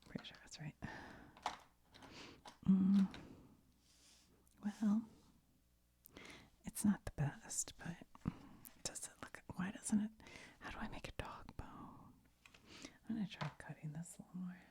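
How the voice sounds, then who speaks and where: A woman whispering softly as she crafts, rambling and thinking aloud to her viewers. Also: mid-range voice, a little slow, long pauses. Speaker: ASMR video maker. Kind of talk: whispered ASMR craft chat.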